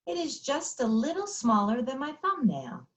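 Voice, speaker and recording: A woman's voice: sits high, wildly swooping pitch, normal speed. A woman playing a sweet, matter-of-fact little princess in a storybook readers theater, speaking with childlike certainty. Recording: slightly dull, muffled sound.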